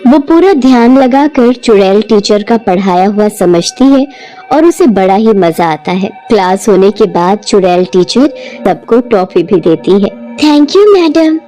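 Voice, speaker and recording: Woman reading a bedtime story, mellow tone. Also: mid-range voice, measured pace, faint music behind. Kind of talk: scripted storybook narration for an animated cartoon.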